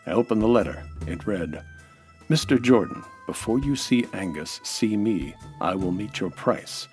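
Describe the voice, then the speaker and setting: A man with an American accent playing a world-weary, hardboiled nightclub owner narrating and talking in deadpan 1940s radio noir style. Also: fairly low pitch, unhurried, soft background music. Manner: dry, wry, deadpan.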